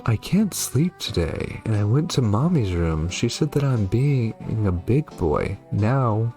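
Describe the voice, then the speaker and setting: A man's voice: on the low side, sing-song, unhurried, warm tone, soft background music. A man voicing a small, sweet, pleading young boy from a game, in a childlike, plaintive way. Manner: childlike, plaintive storybook read-aloud.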